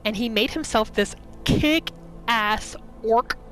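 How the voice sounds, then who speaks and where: Woman doing casual roleplay game narration, bright chatty voice, soft music behind her. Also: on the high side, slow pace, poor audio. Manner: chatty, informal, storytelling in third person. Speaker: gaming video host.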